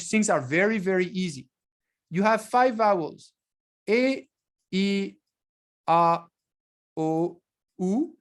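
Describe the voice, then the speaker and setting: Man giving an online lecture. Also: sits high. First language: French. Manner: explanatory, with frequent restarts.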